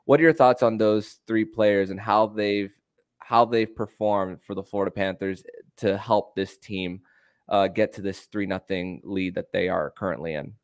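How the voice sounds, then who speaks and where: A man hosting a sports podcast, talking in a halting way with repeated and drawn-out words. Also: lowish voice, fairly even intonation, no room sound, studio quality. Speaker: podcast host.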